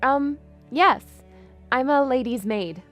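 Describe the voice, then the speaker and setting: Woman acting a spirited young princess, higher-pitched, music behind. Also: animated, slow pace, a bit bright, heavily acted. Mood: earnest. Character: spirited young princess posing as a lady's maid. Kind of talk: fan audio drama acting.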